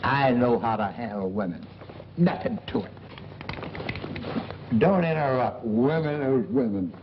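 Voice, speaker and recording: A man acts out a cocky boarding-house schemer from a 1940s film comedy. His pitch leaps around as he brags and wheedles in folksy, rough grammar. The old soundtrack is muffled, with a faint layer of noise. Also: expressive, unhurried, natural voice. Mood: boastful, cocky. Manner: folksy, ungrammatical, blustering bragging. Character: boastful, wheedling boarding-house man, middle-aged, small-time schemer.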